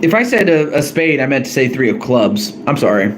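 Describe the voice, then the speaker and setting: A man's voice: mid-range voice, very animated, fairly quick, noisy background. A man casually drawing cards on a live stream, hesitant and apologetic, often correcting himself. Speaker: streamer drawing cards for a fan wrestling tournament.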